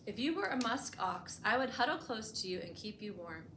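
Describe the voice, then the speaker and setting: An echoey room with clear background noise surrounds a woman hosting storytime. She has a medium-pitched voice and reads expressively for young listeners, leaning on key words. She moves between reading and simple explanations. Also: sing-song, fairly quick, sharp tone. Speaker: librarian hosting a bedtime storytime. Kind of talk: picture-book read-aloud with explanatory asides for children.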